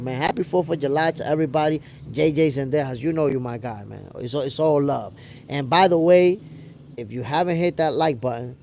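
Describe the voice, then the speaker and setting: An American man with a gravelly voice hosting a casual livestream, talking to viewers in an animated, slangy way. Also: a little high, slight background noise. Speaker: host of a boxing show livestream, reading viewer comments. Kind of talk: casual livestream chat with audience.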